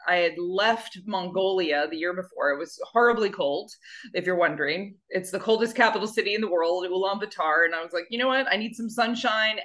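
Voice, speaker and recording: A woman's voice: mid-range voice, sharp tone. A woman guest who founded an animal rescue, chatting casually in a rambling, self-deprecating way. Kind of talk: casual interview chat.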